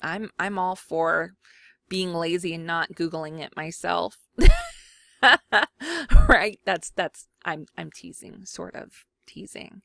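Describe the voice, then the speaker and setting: A woman's voice: fairly low pitch, pushed and bright, no room sound, studio quality. A woman from Mississippi chatting casually while she works on a digital scrapbook, rambling and self-deprecating with lots of fillers. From Mississippi, USA. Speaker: scrapbooking vlogger. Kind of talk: casual chat while working.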